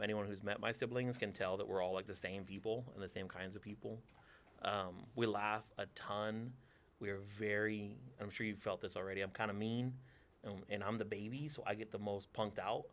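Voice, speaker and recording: A man's voice: fairly low pitch, fairly bright tone. A man in his forties from Texas, a theater teacher answering interview questions with dry, teasing humor.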